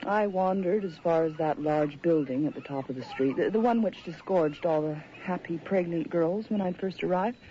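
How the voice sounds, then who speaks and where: A woman's voice: lowish voice, moderate pace, few pauses, dark tone, lightly acted. An actress playing a sensitive, imaginative young woman writer in a radio drama, with an uneasy manner. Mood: uneasy, awed. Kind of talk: radio drama acting with first-person narration. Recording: muffled, noisy old radio drama recording.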